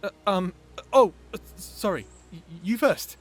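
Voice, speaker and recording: Man acting a shy, stammering young man, high-pitched. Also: expressive, unhurried. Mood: giddy, flustered. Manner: stammering, hesitant, breathless flustered delivery. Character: shy, flustered young man confessing love to his guardian. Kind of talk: dramatic audio roleplay acting.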